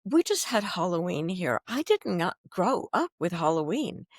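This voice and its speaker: A woman's voice: on the low side, moderate pace, dry recording, studio quality. A middle-aged woman from Belgium, chatting expressively as a podcast guest. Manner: expressive, chatty, restarts a lot. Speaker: wedding officiant guest. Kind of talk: casual interview chat.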